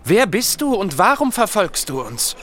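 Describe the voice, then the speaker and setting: A man's voice: high-pitched, big pitch swings, normal speed, a bit bright, a little hiss or hum. A man playing an earnest, compassionate 19th-century investigator in an audio drama, formal and concerned. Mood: concerned, alert. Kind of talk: German audio drama dialogue.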